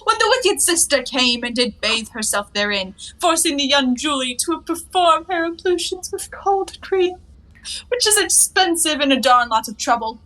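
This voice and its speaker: A woman's voice: sits high, lively intonation, moderate pace, bright, forceful tone, poor audio. An actress playing a carefree, cheeky young woman of a 1920s stage comedy, with airy, teasing, mock-grand phrasing. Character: playful, cheeky, carefree young woman lounging in her bath.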